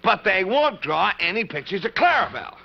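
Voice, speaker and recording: An earnest cowboy boy puppet with a high, folksy voice. He speaks in friendly, exclamatory kids' television style. The old soundtrack is dull and noisy. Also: very animated, fairly quick, creaky, exaggerated voice. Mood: apologetic. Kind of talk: 1950s children's television puppet show.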